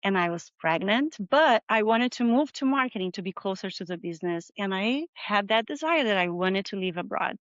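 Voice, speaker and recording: A woman's voice: medium pitch, animated, normal speed, few pauses, fairly bright tone, dry recording, lo-fi sound. A middle-aged woman from southern Brazil with a Brazilian Portuguese accent, answering interview questions in a friendly, reflective way with small non-native slips. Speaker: interview guest, marketing manager. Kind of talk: video interview conversation.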